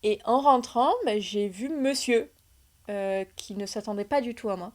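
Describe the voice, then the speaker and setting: A woman co-hosting a real estate podcast, relaxed as she tells a story about a client visit, with many fillers. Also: mid-range voice, normal speed. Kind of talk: casual storytelling chat.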